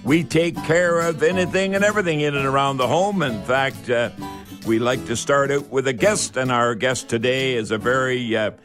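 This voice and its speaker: A man's voice: mid-range voice, moderate pace, music in the background. A man hosting a live call-in radio show in a folksy, repetitive patter.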